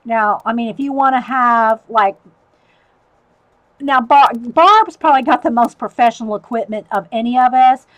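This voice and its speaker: Middle-aged woman, relaxed live-stream chatter, higher voice, quick laughs. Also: muffled recording. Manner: chatty, talks to viewers, laughs often. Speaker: artist livestreamer. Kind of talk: casual chat while drawing.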